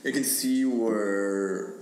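A very deep-voiced man, the host of a casual interview, talks in loose, rambling sentences full of hedges and fillers. His voice rings in an echoey room over a faint background hiss. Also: steady tone, very slow, a little mellow. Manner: rambling, hedging, many "like" fillers.

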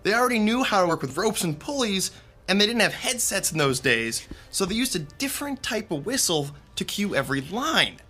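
A man's voice: high-pitched, big pitch swings, fairly quick, sharp tone, slightly rough, faint music behind, exaggerated voice. A man acting a friendly, chatty theatre techie telling anecdotes in an audio drama. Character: Rick, chatty friendly young male theatre techie storyteller.

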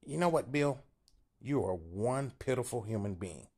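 A man voicing a short, timid old man who is angry and scolding, pushing his voice up high and strained. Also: expressive, unhurried, a little mellow, slightly rough.

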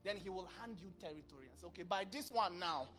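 Animated male pastor preaching on a church stage. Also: high voice, quick pace. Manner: animated, acts out dialogue, bursts of volume. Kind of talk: sermon. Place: large church stage with purple lighting. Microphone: handheld mic.

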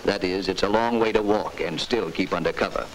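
A man's voice: low voice, a little fast, rough recording. A man narrating a 1940s military training film in a stern, emphatic, instructive way. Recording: hissy 1940s film soundtrack.

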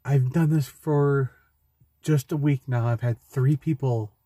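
A young man talking through a personal vlog from a parked car, slow, halting and heartfelt, with frequent restarts. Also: mid-range voice, fairly even intonation, mellow, breathy edge, dry recording, clean studio sound. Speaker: vlogger. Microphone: phone camera close in front.

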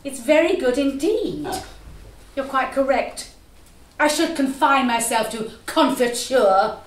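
A woman performs a theatrical reading in a fairly high voice with lively, sweeping intonation. She takes her time, and the sound is roomy and a little distant. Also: slow pace. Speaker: actor giving a rehearsed reading. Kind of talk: theatrical poetry and play reading. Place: small room with live audience. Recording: roomy, distant, faintly noisy.

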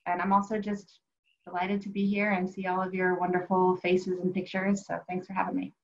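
A woman in her thirties, a political science professor, introducing herself in a friendly, measured way on a video call. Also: lowish voice, monotone, dull audio. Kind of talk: panel self-introduction. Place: home room with wall map, video call. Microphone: webcam on video call.

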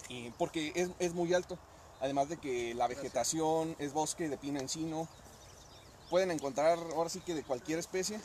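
A man's voice: on the high side, a little fast, a little mellow, a little hiss or hum. A man explaining the local landscape outdoors, eager and informative. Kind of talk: casual explanation. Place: outdoor park. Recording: crowd chatter behind.

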